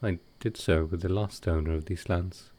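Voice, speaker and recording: A man playing a gentle, wistful ancient vampire in an intimate audio roleplay, soft-spoken and hesitant with halting pauses. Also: low voice, fairly even intonation. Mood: sorrowful.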